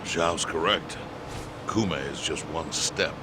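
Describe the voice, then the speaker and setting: An actor playing an elderly, world-weary chairman in a video game, with a measured, dry, pragmatic manner over background noise. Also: rumbling low. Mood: grave, resigned. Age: older. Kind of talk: English video game voice acting.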